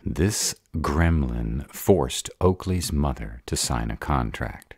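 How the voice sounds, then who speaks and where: Guy doing a grumpy dragon man in an audio roleplay, super raspy, slow but swings around a lot, short temper. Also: a bass voice, expressive, a little mellow, no room sound, clean studio sound, exaggerated voice. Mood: impatient. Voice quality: gravelly, raspy. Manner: growling, impatient, blunt. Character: gruff, hot-tempered male were-dragon, fiercely protective of his mate. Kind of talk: audio roleplay drama acting.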